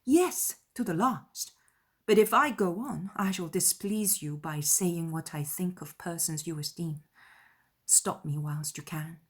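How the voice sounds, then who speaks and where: A woman voicing a spirited, opinionated young woman in a dramatized period novel, arguing emphatically with deliberate stresses. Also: on the low side, husky. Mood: firm, candid.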